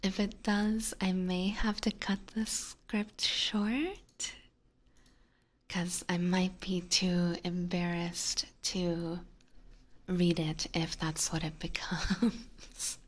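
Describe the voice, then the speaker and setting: A woman softly whispering in a gentle, hesitant ASMR style, chatting casually with viewers up close to the microphone. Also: fairly low pitch, level tone, very slow. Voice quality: soft, breathy, mostly whispered. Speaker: ASMR streamer and host. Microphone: close binaural ASMR microphone.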